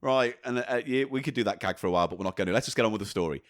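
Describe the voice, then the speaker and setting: A man co-hosting a comedy podcast, reading a listener's letter aloud and riffing playfully with his co-host. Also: fairly low pitch. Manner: playful, quick to riff and tease. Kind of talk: casual comedy chat.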